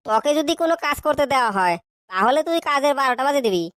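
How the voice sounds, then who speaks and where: A woman's voice: sits high, lively intonation, a bit bright. A woman voicing a bad-tempered, bullying schoolteacher who scolds and fires questions in a comic cartoon. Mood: angry scolding. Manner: scolding, hectoring, rapid questioning.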